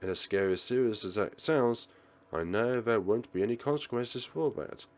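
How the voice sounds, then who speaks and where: A man's voice: mid-range voice, lively intonation, normal speed. A man reading a visual novel aloud as a let's player, theatrical, sometimes stumbling and rereading phrases. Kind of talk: game commentary with dramatic read-aloud narration.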